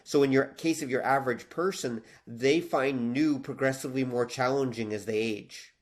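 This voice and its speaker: Male podcast co-host, middle-aged, medium-pitched, explanatory and matter-of-fact in casual discussion. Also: normal speed.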